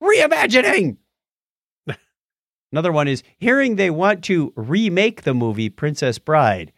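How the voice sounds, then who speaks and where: A man's voice: on the high side, big pitch swings, unhurried, creaky, a bit bright, dry recording, clean studio sound. A man co-hosting a podcast, talking casually and playfully as he tells stories and reads out listener comments. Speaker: podcast co-host.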